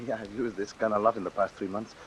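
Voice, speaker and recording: A man's voice: on the low side, fairly even intonation, quick pace, natural voice, poor audio. A man playing an unhinged killer in an old radio thriller. He talks casually, with an amused, gleeful menace, on a vintage recording with faint hiss. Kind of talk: vintage suspense radio drama acting.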